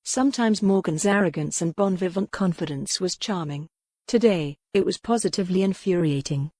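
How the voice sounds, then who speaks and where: A woman narrating a historical mystery novel in a measured, wry storytelling way, recorded at a studio mic. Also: lowish voice, warm tone, no echo. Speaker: audiobook narrator. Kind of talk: scripted narration.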